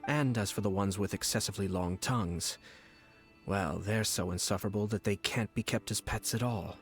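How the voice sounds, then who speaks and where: An actor playing a composed noble male commissioner who speaks smoothly and courteously, with quiet menace. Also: lowish voice, moderate pace, soft background music, close to an everyday voice. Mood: cool. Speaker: clan commissioner. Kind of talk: game voice acting.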